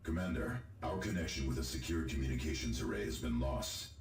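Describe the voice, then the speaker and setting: Formal military briefer, extremely deep, gravelly, measured, video game, music behind. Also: male voice, animated. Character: formal military adviser reporting to his commander. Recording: reverberant game audio under music.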